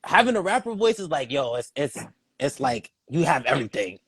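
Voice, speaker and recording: Lively, eager male podcast guest. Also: a little high, a little fast, breathy edge, no echo. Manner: enthusiastic, calls the host "bro" a lot. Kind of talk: casual interview chat.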